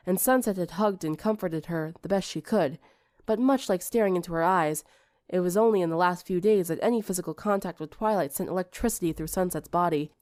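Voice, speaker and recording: A woman's voice: fairly low pitch, a little mellow, no echo, studio quality. A woman reading scripted fiction as an audiobook narrator.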